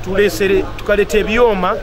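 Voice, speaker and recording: A man's voice: high voice, little pitch movement, fast, a loud crowd. A man in his thirties being interviewed outdoors at a gathering, talking in an upbeat way and mixing English phrases into his talk. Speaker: band leader interviewed. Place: outdoors at a gathering, village road. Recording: loud music playing behind.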